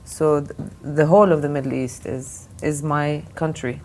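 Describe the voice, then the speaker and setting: A woman's voice: low voice, fairly even intonation, normal speed, a little mellow. A woman originally from Lebanon, answering interview questions as an art fair director, with thoughtful answers full of restarts and fillers. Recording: faint background noise.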